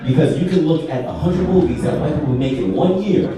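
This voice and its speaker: A man's voice: fairly high pitch, brisk, crowd noise. A man from New York, a panelist making an emphatic, lecturing argument at a live taped discussion. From New York, USA. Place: large echoey art venue, live taping. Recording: very roomy, distant live recording.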